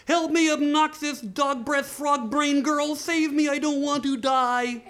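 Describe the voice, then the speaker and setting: A storyteller voicing an angry, insulting little bearded dwarf man with indignant, drawn-out delivery. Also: sits high, fairly even intonation, fairly bright tone, pitched above the performer's own voice. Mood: panicked.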